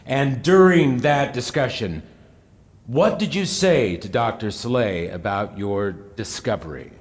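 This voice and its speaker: An actor playing a pressing, condescending senator who questions a witness in formal, pointed phrases in an audio drama. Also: male voice, medium pitch, a little slow, close to an everyday voice. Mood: formal, probing. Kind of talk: audio drama courtroom acting. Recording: long hall reverb.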